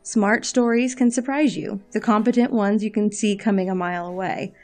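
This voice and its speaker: A woman's voice: medium pitch, fairly even intonation, moderate pace, natural voice. A woman playing a wry, world-weary agent in a scripted audio drama, with a dry, sardonic delivery. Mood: exasperated. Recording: faint music under the voice.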